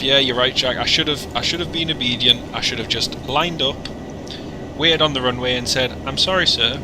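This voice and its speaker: A man chatting casually on a flight sim livestream, joking and rambling between asides. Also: medium pitch. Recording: noisy, with engine hum.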